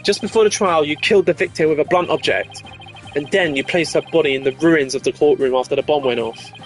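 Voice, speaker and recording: A man's voice: fairly high pitch, quick pace, a little hiss or hum, lightly acted. A man voicing a confident, dogged defense attorney in a courtroom video game, assertive and accusing, over music.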